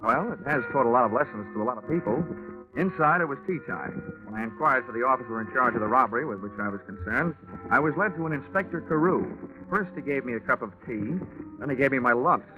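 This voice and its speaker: Thin vintage radio sound, music, man acting sleepy wisecracking investigator, deadpan, flirtatious, 1940s detective drama. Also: medium pitch, quick pace, dull audio, close to an everyday voice. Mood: wry narration. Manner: wry, deadpan. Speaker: narrator and lead detective character.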